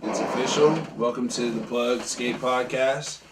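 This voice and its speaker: A man hosting a skate podcast, talking in hyped slang and calling out shout-outs to friends. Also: medium pitch, steady tone, a little slow. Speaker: podcast host. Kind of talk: casual chat. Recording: distant mic with clear background noise.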